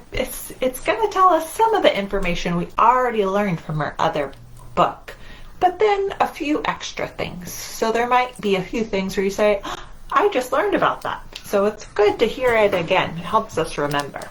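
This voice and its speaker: A woman's voice: medium pitch, a bit bright, slight background noise, poor audio. A woman hosting a children's storytime, reading aloud in simple, singsong phrases and putting questions to young listeners. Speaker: children's library storytime host.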